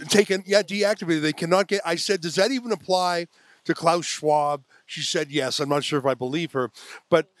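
A man's voice: a little high, normal speed, fairly bright tone, no echo. A man interviewing a guest at a conference, chatty and affirming, steering with leading questions. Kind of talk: conversational interview. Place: conference venue.

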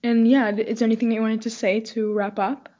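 A woman's voice: fairly high pitch, level tone, fast, highs cut off. A young woman hosting a casual podcast interview, effusive and full of fillers. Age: young adult. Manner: warm, effusive, many fillers. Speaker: podcast host.

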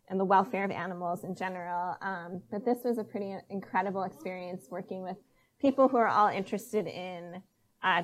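A woman in her thirties from Massachusetts, chatting casually as an interview guest, with many fillers and frequent laughs. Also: medium pitch. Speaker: guest researcher.

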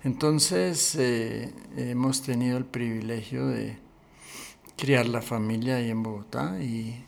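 Slow, gravelly older man. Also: mid-range voice, darkish tone. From Colombia. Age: 60s or older. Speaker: pastor, interview guest. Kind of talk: conversational interview storytelling.

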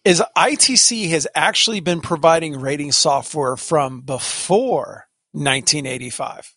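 A man's voice: fairly high pitch, a little slow, creaky, bright, forceful tone, dry recording, studio quality. A man hosting a casual podcast chat, rambling and restarting his sentences often. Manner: rambling, self-interrupting, many restarts. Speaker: podcast host, software company executive.

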